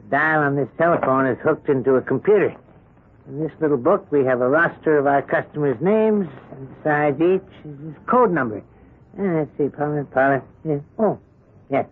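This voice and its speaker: Husky, smug racketeer, old radio. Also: male voice, a little high, moderate pace. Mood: smug, mock-polite. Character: smug, mock-courteous crooked credit boss. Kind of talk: 1970s radio drama acting. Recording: thin lo-fi vintage radio recording.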